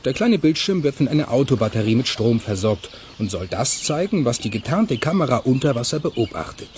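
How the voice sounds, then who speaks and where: Guy narrating a kids audio drama, middle pitch, bit of outdoor noise behind. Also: big pitch swings, fairly quick, darkish tone. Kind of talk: scripted children's radio play narration.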